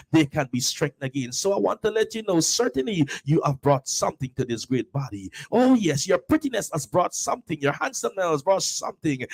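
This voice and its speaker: A man with a Guyanese accent delivers a live motivational talk. He speaks in a slightly high voice and preaches in repetitive, swelling phrases. He often breaks in with an emphatic "oh yes.". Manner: preachy, repetitive, builds with rising exclamations; frequent "oh yes" interjections.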